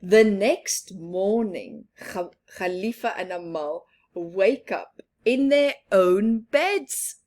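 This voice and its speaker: Slow, expressive woman reading a storybook. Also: mid-range voice. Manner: slow, expressive, with soft laughs and whispered asides. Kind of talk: children's picture book read-aloud.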